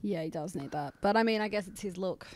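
A woman's voice: fairly low pitch, fast talker, no room sound. Young Australian woman, co-host, playful banter, dry close recording. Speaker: podcast co-host. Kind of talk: casual chat.

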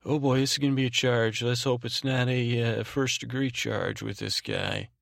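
A man hosting a sleep podcast with a meandering, whimsical monologue, halting and restarting as he drifts between thoughts. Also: mid-range voice, lively intonation, gravelly, a bit bright, no room sound, clean studio sound. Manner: halting, digressive, trailing off and restarting mid-thought; whimsical, tongue-in-cheek. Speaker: podcast host. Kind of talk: rambling, meandering bedtime monologue meant to lull listeners to sleep.

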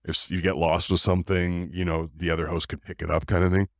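A man who hosts a podcast, talking casually in a deep voice, rambling with fillers and restarts. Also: little pitch movement, fast, no room sound, clean studio sound. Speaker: podcast host. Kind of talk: casual interview chat.

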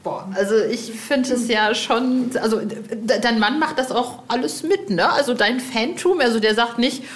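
A woman's voice: fairly high pitch, moderate pace. A woman hosting a casual podcast interview in a playful, effusive and colloquial way. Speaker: podcast host.

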